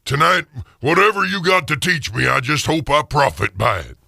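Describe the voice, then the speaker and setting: A man's voice: fairly high pitch, very animated, moderate pace, vocal fry, sharp tone, dry recording, clean studio sound, pitched above the performer's own voice, full character voice. A man playing a frightened, repentant old miser in a radio drama, pleading and hesitant. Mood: humbled, curious. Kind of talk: radio theater acting.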